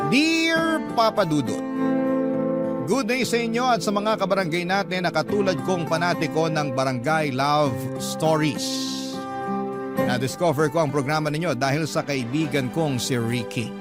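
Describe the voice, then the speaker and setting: A man's voice: on the high side, animated, unhurried, pauses often. A man with a Filipino accent narrating a personal letter as a first-person story for radio drama. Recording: music playing behind the voice. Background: plucked guitar music.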